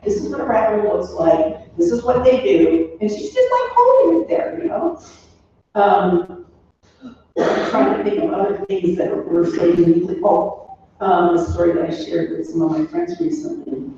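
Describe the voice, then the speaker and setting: A woman's voice: medium pitch, very animated, normal speed, warm tone. Older woman reminiscing in a very echoey room. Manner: rambling, anecdotal storytelling with trailing asides. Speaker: daughter giving a remembrance at a funeral. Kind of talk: informal spoken eulogy, reminiscing. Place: echoey room, away from the microphone. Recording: roomy, distant, muffled recording with faint noise.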